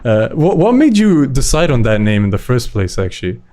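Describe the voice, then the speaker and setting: A man's voice: mid-range voice, very animated, moderate pace, mellow. A man co-hosting a podcast, introducing a guest enthusiastically while showing her website. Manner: upbeat, enthusiastic. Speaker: podcast co-host.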